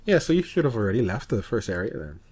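A man with a fairly low voice talks casually as a podcast co-host about video games. His delivery is informal and a bit halting as he explains how he does things. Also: sing-song, darkish tone, breathy edge.

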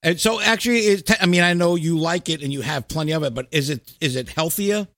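A middle-aged man hosting a casual chat, talking in an animated, slangy, sweary way. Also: fairly high pitch, a little fast, dry recording, studio quality. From New York and New Jersey, United States. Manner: animated, profane, slangy storytelling. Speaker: podcast host.

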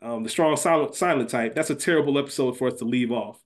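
A man's voice: mid-range voice, fast. A man co-hosting a podcast in casual, profane banter, thinking aloud and repeating himself as he weighs his choices. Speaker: podcast co-host. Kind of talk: casual sports-draft style banter about a TV series.

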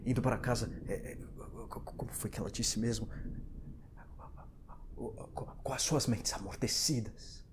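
An actor playing a panicked, paranoid husband and father in an audio drama, breathy and stammering as he moves between whispers and outbursts. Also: medium pitch, fairly even intonation, moderate pace, noisy background, lightly acted. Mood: haunted, breathless. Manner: frantic, breathy, stammering, lurching from whispers to shouts.

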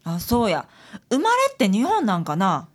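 A woman's voice: medium pitch, wildly swooping pitch, moderate pace, husky. A woman playing an outspoken, chatty detective's assistant in a radio drama, with a breezy Kansai accent. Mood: curious gossip. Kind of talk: Japanese radio drama acting.

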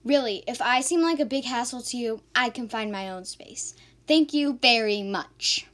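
A woman's voice: on the high side, normal speed, fairly bright tone, close to an everyday voice. A woman playing a sassy, sceptical young woman in an amateur radio drama, sarcastic and indignant. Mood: indignant, puzzled.

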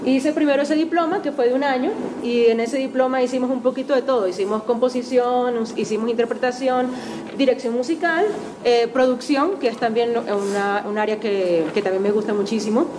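Venezuelan woman guest speaker, bright-ish higher voice, chatty and self-mocking, noisy hall recording. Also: fairly even intonation, brisk. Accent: Venezuelan Spanish. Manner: chatty, self-deprecating, with light laughs. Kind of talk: lecture talk to a class. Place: university auditorium.

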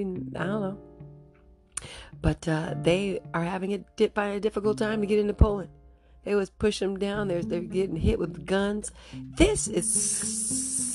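A woman hosting her own podcast, speaking in a rambling, casual monologue with a raspy voice she is losing, and breaking into nervous laughs. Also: medium pitch. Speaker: podcast host.

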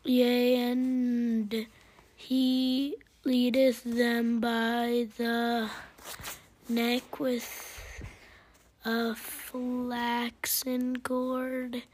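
A woman reads scripture aloud in a fairly high voice. The pace is extremely slow and halting, with stumbles and restarts on words. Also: completely flat delivery, a little mellow, a little hiss or hum. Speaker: family member reading scripture aloud.

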